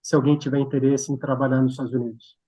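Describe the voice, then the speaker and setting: A man's voice: medium pitch, flat delivery, quick pace, mellow, husky, poor audio. A man interviewing a guest, asking long, rambling questions with restarts and tag questions. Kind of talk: interview conversation.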